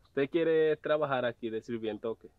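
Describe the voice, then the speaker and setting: A young gatekeeper talks in a teasing, mildly mocking way, as an actor plays him, in an ordinary mid-range voice. The sound is dry and a little dull, recorded outdoors. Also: male voice, medium pitch, moderate pace, smooth, no room sound, lightly acted. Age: young adult. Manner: teasing, chuckling. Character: young male gatekeeper servant, mocking but obedient. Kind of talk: web drama acting. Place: outdoors at a farm gate.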